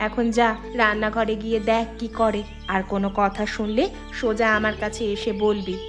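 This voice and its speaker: A woman's voice: mid-range voice, little pitch movement, normal speed, music in the background, lightly acted. A woman voicing a humble but calculating mother in a cartoon. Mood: scheming, hushed. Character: humble, calculating mother moving in with her brother. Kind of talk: Bengali cartoon voice acting.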